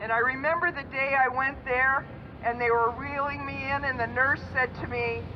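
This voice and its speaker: An older woman giving a personal testimony speech at an outdoor rally, slow and emphatic. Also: high-pitched, fairly even intonation. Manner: slow, deliberate, emphatic delivery. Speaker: rally speaker. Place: outdoor city street rally. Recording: muffled outdoor recording with traffic noise.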